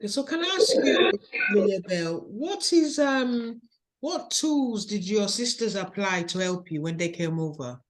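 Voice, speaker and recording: A woman with a Nigerian accent hosting a podcast interview, speaking in a slow, measured, encouraging way. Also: mid-range voice, lively intonation, noisy background. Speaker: podcast host.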